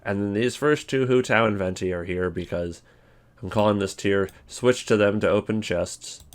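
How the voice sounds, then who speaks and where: A man's voice: on the low side, moderate pace. A man giving casual, rambling commentary on a ranking list as a video host. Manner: rambling, informal.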